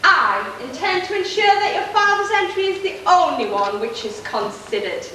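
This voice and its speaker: A woman playing a scheming, smooth-talking nobleman in an amateur stage pantomime, recorded from afar in a hall. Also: very high voice, expressive, normal speed, pushed and bright, heavily acted. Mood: calmly scheming. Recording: echoey hall, distant mic, faint noise.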